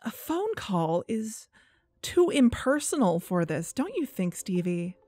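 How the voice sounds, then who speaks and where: A woman's voice: medium pitch, very animated, slow pace, warm tone, no echo, exaggerated voice. An actress playing an earnest, persuasive young woman who hosts an investigative podcast in an audio drama. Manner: earnest, coaxing. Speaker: podcast host. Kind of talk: fiction podcast narration and phone dialogue.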